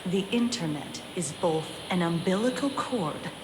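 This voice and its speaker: A woman voicing a composed government examiner in a video game, formal, measured and deadpan. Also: lowish voice, background noise. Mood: clinical. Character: composed, clinical, dry female government examiner. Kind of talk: scripted game dialogue. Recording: loud game music behind voice. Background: loud game music.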